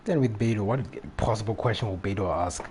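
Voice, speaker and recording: A man's voice: on the low side, quick pace, darkish tone, a little hiss or hum. A young man doing casual, slangy reaction commentary as he livestreams a video game. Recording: faint game music behind voice. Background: game music.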